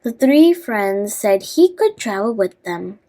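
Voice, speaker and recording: A woman reading a scripted children's story, with a halting delivery that stumbles over words. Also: mid-range voice, very animated, warm tone.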